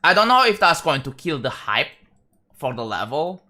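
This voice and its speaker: A young man from Indonesia with an Indonesian accent, chatting casually on a live stream, rambling and halting with frequent restarts. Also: fairly high pitch, sing-song, sharp tone. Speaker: livestreamer.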